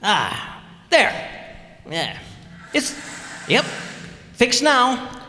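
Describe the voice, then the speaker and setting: A man's voice: very high voice, huge pitch swings, drawn-out words, pushed and bright, slight background noise, heavily acted. A man playing a folksy, blustering husband with careless grammar in a 1940s-style radio comedy. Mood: self-satisfied. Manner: blustery, colloquial, ungrammatical. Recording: echoey hall, live stage performance.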